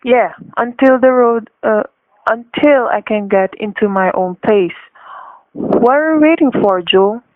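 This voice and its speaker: A man's voice: high-pitched, a little slow, no room sound. A tinny, narrow vintage radio recording carries a menacing gangster on the run. He is bossy and jumpy, firing off curt street slang. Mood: uneasy, impatient. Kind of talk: old-time radio crime acting.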